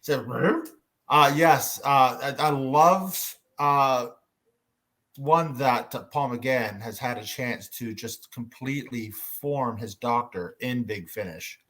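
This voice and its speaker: A man with a middle-pitched voice hosts a casual panel. He speaks informally, in a hesitant, halting way as he steers the conversation. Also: fairly bright tone. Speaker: host of a fan panel.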